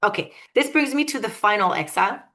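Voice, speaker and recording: A woman giving an academic lecture, thoughtful and often pausing to search for her words. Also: medium pitch, animated, sharp tone.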